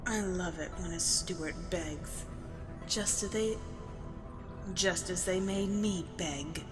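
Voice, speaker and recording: Casting: actress for menacing female interrogator who savors her cruelty, slow and toying, under heavy dramatic music. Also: on the low side. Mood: cruel amusement. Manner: taunting, toying, relishing. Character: sadistic villainess interrogator, playful and menacing toward captives. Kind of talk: audio drama acting. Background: loud music.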